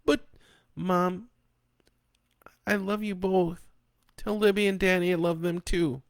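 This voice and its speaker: A man's voice: high-pitched, level tone, slow, no room sound, studio quality, pitched above the performer's own voice. A man reading a story aloud and voicing a scared young adult daughter with a shaky, quivering voice. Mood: tearful.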